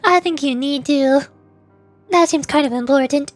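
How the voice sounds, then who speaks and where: A woman's voice: high-pitched, moderate pace, no echo, faint music behind. A woman chatting casually and in a rambling way as she livestreams a game. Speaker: streamer, VTuber. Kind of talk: casual livestream chat while gaming.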